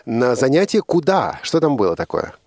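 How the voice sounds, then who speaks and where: A man's voice: medium pitch, big pitch swings, moderate pace, a little mellow, no room sound. A man hosting a live radio interview, prompting his guest with short questions in an encouraging manner. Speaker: radio host.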